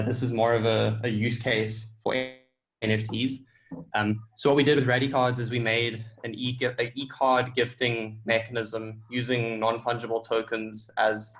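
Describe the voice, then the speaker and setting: A man's voice: medium pitch, a bit bright, rough recording. A man presenting his tech project informally on a conference call.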